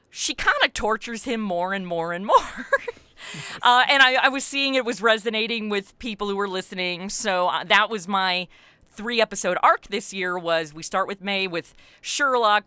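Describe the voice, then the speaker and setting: A woman with a bright, forceful voice and very clean tone talks as a guest in an interview. She explains things in an expansive, animated storytelling style, and the sound is dry and close. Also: medium pitch, clean tone, no room sound. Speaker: podcast creator and writer, interview guest. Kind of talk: conversational interview answer.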